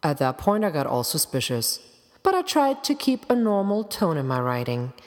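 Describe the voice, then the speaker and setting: A woman's voice: deep voice, big pitch swings, moderate pace. A young adult woman with a German accent performs a comic personal monologue as a podcast host, theatrical and self-ironic, leaning on words for dramatic emphasis. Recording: long reverb, music behind the voice.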